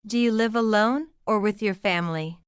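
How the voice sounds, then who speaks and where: Woman, lesson dialogue reader, crisp smooth voice, careful simple sentences, dry sound. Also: medium pitch, moderate pace, dry recording. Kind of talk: slow scripted practice dialogue.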